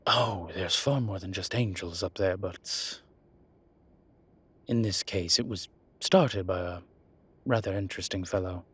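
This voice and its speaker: A man playing a suave, wry incubus demon professor in an intimate audio roleplay, with a husky voice and hesitant pauses. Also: low voice, fairly bright tone, exaggerated voice. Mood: measured explaining.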